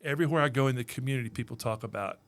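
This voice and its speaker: A middle-aged Texan man hosts a relaxed interview, friendly and chatty, often restarting his sentences. His voice sits at an ordinary pitch for a man. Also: racing. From Texas. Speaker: podcast host and campus leader. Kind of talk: casual interview chat.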